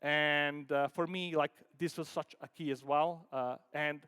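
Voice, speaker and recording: A man from Denmark giving an informal conference talk as a startup founder, halting and conversational, peppering sentences with filler words like "like" and "you know". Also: on the high side, fairly even intonation, sharp tone, clean tone. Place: conference hall stage. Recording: echoey hall with long reverb.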